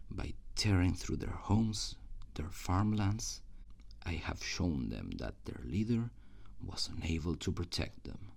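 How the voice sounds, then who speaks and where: A man playing an ambitious, cruel usurper prince in an ASMR roleplay, speaking formally and theatrically in a husky, gravelly voice that often drops toward a whisper. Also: very deep voice, darkish tone, exaggerated voice. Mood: grandiose, proud.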